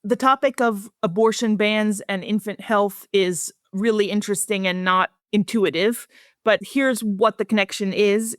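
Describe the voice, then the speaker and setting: A woman speaking as an expert guest in a research interview, explaining things in a measured, plain-spoken way. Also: mid-range voice, no echo, studio quality.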